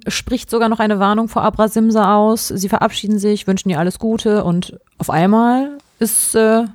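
A woman's voice: mid-range voice, darkish tone, no echo, clean studio sound. A woman co-hosting a podcast, chatting and explaining as she recaps stories in a casual way. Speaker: podcast co-host. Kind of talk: casual chat, recapping an audio drama.

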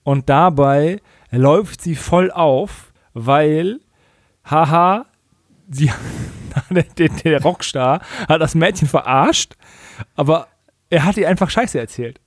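A man's voice: a little high, slow, dry recording, clean studio sound. A man co-hosting a casual comedic recap podcast, slangy and mockingly acting out quoted dialogue. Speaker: podcast co-host.

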